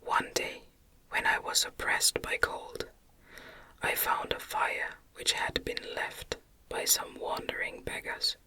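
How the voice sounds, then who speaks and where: A narrator reading a gothic novel as a gentle, deliberate ASMR whisper. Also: rough recording.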